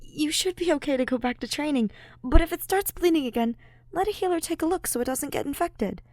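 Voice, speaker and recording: A woman plays a sweet teenage boy from an audiobook story, his voice lifted high and close in a dry space. He is gentle and soft-hearted, speaking with eager, open expression. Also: animated, fairly quick, no echo. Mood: flustered, apologetic. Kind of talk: fan fiction audiobook character voice.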